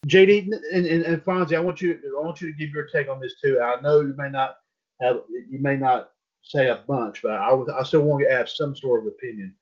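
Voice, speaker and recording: A man hosts a casual wrestling talk show in a loose, rambling way, circling back over his own words and padding his lines with filler. His voice sits at an ordinary pitch for a man. The sound is dull and lo-fi. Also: steady tone, a little fast. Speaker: podcast host.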